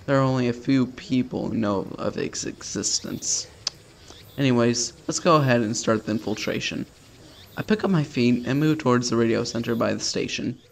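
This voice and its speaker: A man's voice: mid-range voice, vocal fry, a little hiss or hum. A man hosting a gaming video, reading a visual novel aloud casually and stumbling now and then with sweary, self-deprecating asides.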